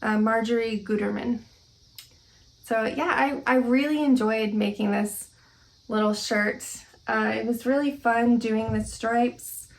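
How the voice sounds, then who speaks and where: A young woman from Oklahoma hosting a casual knitting vlog at home, relaxed and slightly scratchy from allergies, with lots of ums and soft laughs. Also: on the high side, a little slow. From Oklahoma, United States. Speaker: knitting podcast host. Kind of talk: casual chat to camera. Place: at home, indoors.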